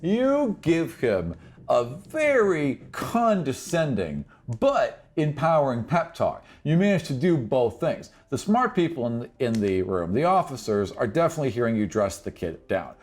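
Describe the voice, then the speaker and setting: A man narrating a tabletop fantasy game as game master, improvising in an animated way and repeating phrases for emphasis. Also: medium pitch, unhurried.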